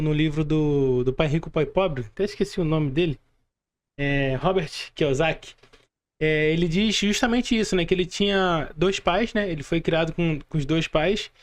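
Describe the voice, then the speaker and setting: A man with a Brazilian accent hosting a casual podcast interview, haltingly rephrasing and checking for agreement as he goes. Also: fairly high pitch, clean tone. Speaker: podcast host.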